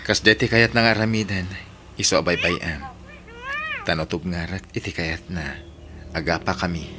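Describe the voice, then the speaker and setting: Through clear background noise comes a man acting a jealous, wounded Filipino husband in a radio drama. His low voice pleads emotively, the tone of a middle-aged father who feels wronged. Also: fairly even intonation, moderate pace, close to an everyday voice. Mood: hurt, suspicious. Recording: noisy radio drama recording.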